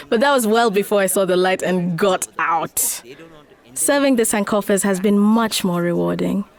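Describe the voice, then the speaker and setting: A woman's voice: mid-range voice, unhurried, reverberant, distant mic, lightly acted. A woman playing an earnest, idealistic young Kenyan activist in a dramatic motion comic, explaining and persuading. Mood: upbeat.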